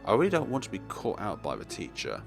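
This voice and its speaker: A man's voice: fairly low pitch, huge pitch swings, quick pace, creaky, music in the background. A man hosting a let's play, reading dramatic narration aloud with casual, jokey asides.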